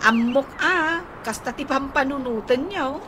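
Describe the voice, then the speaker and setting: A woman's voice: high voice, animated, a little slow. A woman playing an eager, star-struck, defensive younger woman in a comedy radio drama, gushing and pleading. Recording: radio drama with clear background noise.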